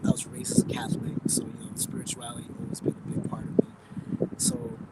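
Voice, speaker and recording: A man in his thirties from the Philippines, raised in California, chatting casually in an outdoor interview, relaxed and rambling. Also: brisk. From Philippines, raised in Oxnard, California. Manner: relaxed, rambling, with many fillers and restarts. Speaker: interview guest. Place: outdoors by a bay, traffic nearby. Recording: loud outdoor street noise.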